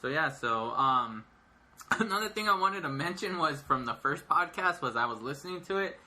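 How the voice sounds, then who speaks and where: High-voiced man in his thirties. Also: expressive, moderate pace, pushed and bright. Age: 30s. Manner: rambling, laughs often, filler-heavy. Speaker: podcast co-host. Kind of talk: casual chat.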